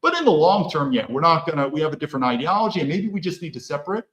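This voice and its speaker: A man hosts a solo commentary monologue. His voice sits a little high for a man and has a blunt, opinionated edge. He keeps asking rhetorical questions, and the room around him is echoey. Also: big pitch swings, very fast, a bit bright. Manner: rhetorical, peppers talk with questions. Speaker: host.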